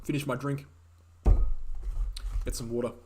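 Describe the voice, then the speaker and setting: A man hosting a live question-and-answer stream, speaking in a casual, opinionated way, repeating key phrases for emphasis, his voice slightly croaky. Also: mid-range voice, little pitch movement, unhurried, a little mellow. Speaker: host answering viewer questions. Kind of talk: casual livestream Q&A.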